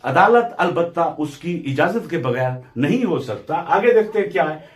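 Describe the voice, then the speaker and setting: A man with a Pakistani accent giving an instructional lecture as a law teacher, deliberate and didactic, mixing in English legal terms. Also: on the high side, lively intonation.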